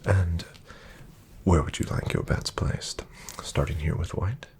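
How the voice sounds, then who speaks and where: A man playing a courteous, composed casino dealer in a soft-spoken ASMR roleplay, with formal phrasing and a breathy near-whisper. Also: sits low, a little hiss or hum. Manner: formal, polite, measured phrasing.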